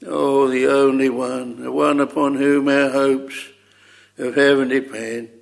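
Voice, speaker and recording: A man's voice: mid-range voice, flat delivery, a little slow, vocal fry. A man leading an extempore public prayer, pleading earnestly in short repeated phrases with old-fashioned thee and thou wording. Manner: short repeated phrases, trailing soft endings.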